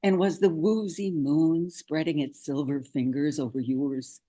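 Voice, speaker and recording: A man's voice: a little high, steady tone, unhurried, warm tone. A man speaking informally over a video call as a poet introducing and reading a sonnet.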